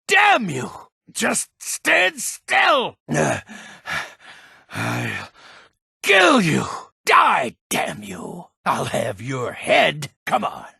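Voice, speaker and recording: An actor playing a grumpy, pessimistic old male alchemist and shopkeeper in a fantasy video game, curt and exasperated, with a gravelly rasp. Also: sits high, pushed and bright, no room sound, studio quality, exaggerated voice. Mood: angry, combative. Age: older. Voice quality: gravelly, rasping.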